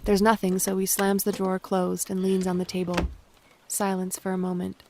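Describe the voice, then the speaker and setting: A woman's voice: mid-range voice, completely flat delivery, moderate pace, dark tone, a little hiss or hum. A woman reading scripted stage directions as the narrator of an audio drama.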